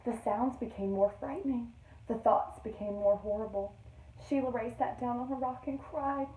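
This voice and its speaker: A woman teacher reading a picture book aloud to young children in an expressive storytelling manner. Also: on the high side, normal speed, a little hiss or hum. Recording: roomy echo, distant microphone.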